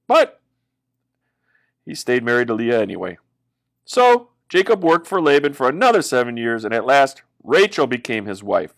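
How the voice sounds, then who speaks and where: A man tells a Bible story for children. His pitch is middling but moves all over as he goes. The delivery is dramatic and expressive, with big emphasis on key words. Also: creaky. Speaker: pastor reading children's Bible stories.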